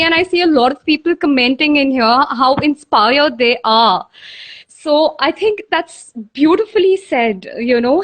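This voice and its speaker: A woman hosting a casual live interview, effusive and complimentary, laughing often between questions. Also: high voice. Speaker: interviewer, host.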